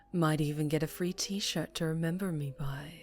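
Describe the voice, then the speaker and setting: Woman acting a low, slow, menacing mafia boss woman. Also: fairly even intonation, soft background music. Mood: amused. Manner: teasing, taunting, softly threatening. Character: dangerously charming, menacing female mafia boss. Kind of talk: scripted ASMR roleplay monologue.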